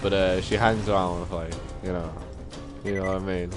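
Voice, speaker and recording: Young man, British accent, casual storytelling, informal slang, bantering, clear music in background. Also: low voice, steady tone, moderate pace. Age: young adult. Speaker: video host telling stories. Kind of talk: casual chat, anecdotes between friends. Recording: music behind the voice.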